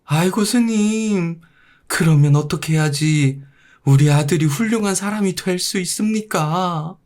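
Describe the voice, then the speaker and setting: A man voicing a devoted, poor mother in an old Korean folktale, speaking in a slow, drawn-out, plaintive way. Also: high voice, a little mellow, pitched above the performer's own voice. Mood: anxious pleading.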